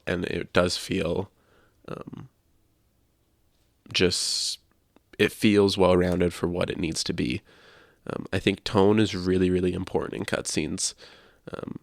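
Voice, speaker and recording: A man co-hosting a podcast, talking casually about video games in a measured, explanatory and opinionated way. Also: deep voice, gravelly, no echo, clean studio sound. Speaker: podcast co-host.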